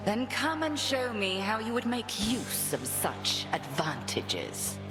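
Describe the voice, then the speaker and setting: A woman voicing an imperious witch mentor in a video game, speaking in a formal, measured, archaic manner. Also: mid-range voice, very animated, loud music behind the voice. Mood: stern, testing. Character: imperious, testing witch mentor with commanding poise.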